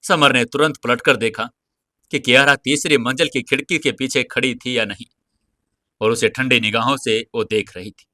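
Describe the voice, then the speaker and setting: Bright-voiced man narrating a drama. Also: mid-range voice, steady tone, moderate pace, no echo, studio quality. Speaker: audio story narrator.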